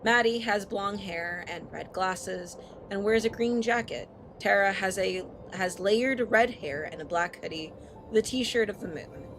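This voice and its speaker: A woman's voice: mid-range voice, vocal fry, poor audio. A woman live-streaming, reading a story aloud with casual, playful and sometimes profane asides. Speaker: streamer hosting a visual novel read-aloud.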